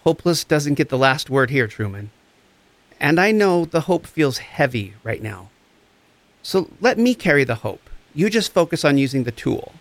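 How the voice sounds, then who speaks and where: An actor playing a gentle, encouraging empath therapist, speaking in a reassuring, deliberate way in a scripted audio drama. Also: male voice, a little high, sing-song, dry recording. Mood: tender, encouraging. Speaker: actor in a fiction podcast.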